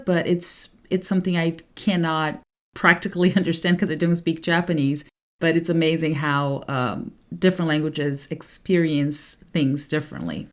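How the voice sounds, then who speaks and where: A woman whose first language is Portuguese, hosting an interview podcast in an easy, enthusiastic, chatty way. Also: low-pitched. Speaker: podcast host. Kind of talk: conversational interview.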